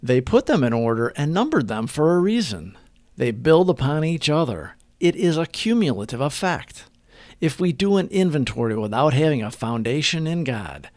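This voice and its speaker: A man reading a scripted daily meditation and reflection in an earnest, measured manner. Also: fairly high pitch, vocal fry, no echo.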